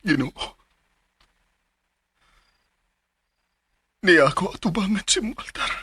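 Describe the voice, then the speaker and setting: A man playing a guilt-ridden young man in a radio drama, confessing in halting, tearful phrases. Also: high-pitched, lively intonation, bright, forceful tone, slightly rough, heavily acted. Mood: remorseful. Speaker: penitent.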